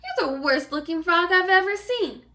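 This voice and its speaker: Woman playing a breezy, bright-voiced fairy godmother. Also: extremely high pitch, animated, moderate pace, far from the mic, voice pushed up, exaggerated voice. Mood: teasing. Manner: chatty, offhand, teasing.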